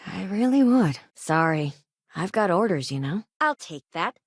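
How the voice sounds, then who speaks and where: A caring but tired colony doctor in a space game. Her voice sits a little low for a woman and moves with lively, expressive inflection. She is matter-of-fact and conversational, recorded very dry and close. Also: measured pace, no echo, full character voice. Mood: measured, wry. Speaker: video game voice actor. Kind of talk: scripted game dialogue lines.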